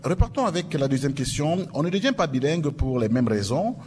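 Male forum host, mid-pitched and announcer-like, in a live hall with a long echo. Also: very animated, a little fast, a little mellow. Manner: announcer-like, with emphatic rising openers. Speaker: forum moderator. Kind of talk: moderating a live panel forum. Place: event hall with an audience. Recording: reverberant hall, faint noise.

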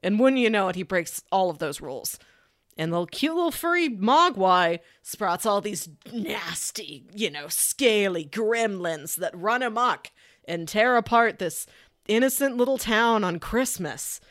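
A woman co-hosting a podcast, pitching movies in a casual, enthusiastic and emphatic way with chatty asides. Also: animated, unhurried, fairly bright tone, no echo, studio quality. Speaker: podcast co-host.

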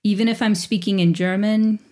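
A woman's voice: medium pitch, steady tone, a little fast, warm tone. A woman in her forties, a teacher who speaks English as her first language, answering interview questions in a reflective way with small restarts. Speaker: teacher at a bilingual school.

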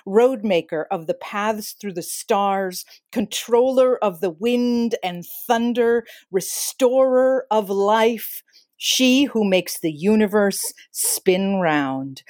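A woman's voice: mid-range voice, darkish tone, no echo, studio quality. A woman co-hosting a podcast, moving between recitation and conversation. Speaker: podcast co-host.

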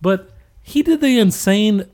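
A man's voice: sits high, lively intonation, measured pace, a little mellow. An American man chatting casually on a podcast, giving opinions in an informal, emphatic, slangy way. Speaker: podcast co-host.

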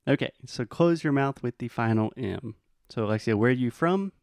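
An American man teaching English in a bilingual lesson, explaining patiently and modeling phrases slowly. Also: mid-range voice, animated, gravelly, a little mellow, no echo, clean studio sound. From United States.

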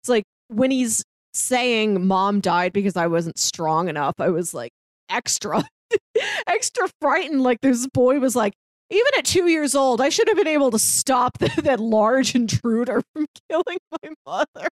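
A woman's voice: a little high, big pitch swings, a little slow, dry recording, studio quality. A woman co-hosting a casual film discussion, chatty and often laughing as she talks. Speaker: podcast co-host.

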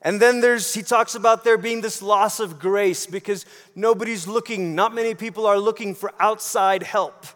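A man's voice: high voice, steady tone, moderate pace, hardly any pauses, a bit bright. A man from Malaysia preaching a sermon in a conversational way, posing rhetorical questions and repeating phrases for emphasis. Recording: long hall reverb.

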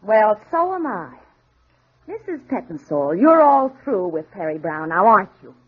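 A spirited woman from a newspaper office, feisty and loyal, with a sharp tongue. Her delivery swings expressively in old radio drama style, heard through a muffled vintage recording. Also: mid-range voice, very animated, moderate pace, breathy edge. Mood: indignant.

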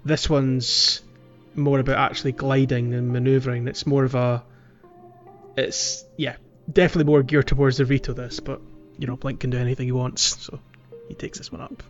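A man hosts a casual gaming podcast, thinking aloud in a halting, conversational way. His voice sits at an average pitch. The audio is slightly muffled, with music clearly playing behind him. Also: flat delivery. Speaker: podcast host. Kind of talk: casual video game walkthrough chat.

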